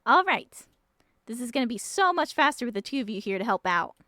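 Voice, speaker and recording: A woman's voice: on the high side, very animated, brisk, bright, forceful tone, no room sound, studio quality. A woman voicing an eager, chirpy young woman who researches monsters in a visual novel. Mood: eager, upbeat. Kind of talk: visual novel character reading. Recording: music behind the voice.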